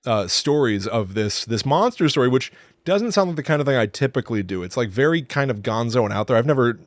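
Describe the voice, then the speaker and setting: A man's voice: mid-range voice, animated, a little fast, no echo. A man co-hosting a podcast in a casual, chatty way. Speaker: podcast co-host.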